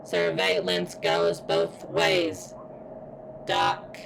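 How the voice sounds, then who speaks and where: A woman voicing a damaged female surveillance robot in an ASMR roleplay, speaking in formal, clinical, halting phrases with a strained, pained delivery. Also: low voice, very animated, vocal fry, noisy background, heavily acted. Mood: clinical, defensive. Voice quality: strained, as if in pain. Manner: formal, clinical, stilted wording with halting pauses. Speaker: voice actor in audio roleplay. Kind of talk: ASMR roleplay, scripted character monologue.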